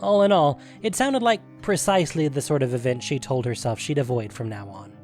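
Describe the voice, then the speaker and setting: A man's voice: medium pitch, brisk, a little mellow. A man narrating a scripted fantasy story as the reader of a story podcast. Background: music.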